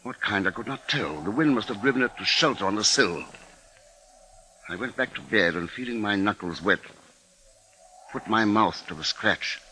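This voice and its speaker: An actor playing a shaken but dogged husband and father, narrating gravely and deliberately in a 1950s radio drama. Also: fairly low pitch, lively intonation, sharp tone, slight background noise, low-quality recording. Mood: grave, hushed. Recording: old 1950s radio broadcast recording. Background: dramatic music.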